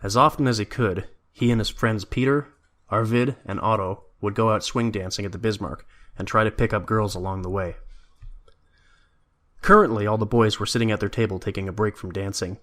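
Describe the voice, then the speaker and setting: A man's voice: fairly low pitch, steady tone, brisk, a bit bright. A man reading scripted story narration as the narrator of an audio drama.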